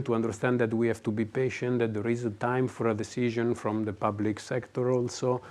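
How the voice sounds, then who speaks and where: A man speaking as a panelist in a business webinar, talking haltingly with many restarts and fillers. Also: mid-range voice, a little mellow.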